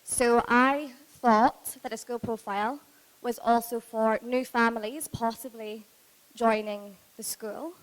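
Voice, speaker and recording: Hoarse Scottish woman in a reverberant hall. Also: fairly high pitch, steady tone, takes it slowly. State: hoarse, losing her voice. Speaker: university recruitment lead, panel moderator. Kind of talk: conference panel talk. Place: large hotel conference room. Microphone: handheld microphone, filmed by far camera.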